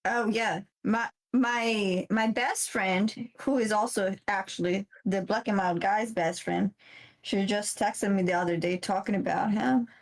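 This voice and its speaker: A woman from the American South chatting casually on a livestream, blunt and quick to laugh. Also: mid-range voice, fairly even intonation, poor audio. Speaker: trading stream co-host.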